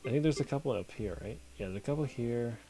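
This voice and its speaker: A man's voice: mid-range voice, fast talker, warm tone. A man streaming a card game, musing aloud over his moves and answering viewers in chat. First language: not Korean. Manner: talks to himself while playing, reads and answers chat. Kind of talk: casual live-stream commentary while gaming. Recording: music playing behind. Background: background music.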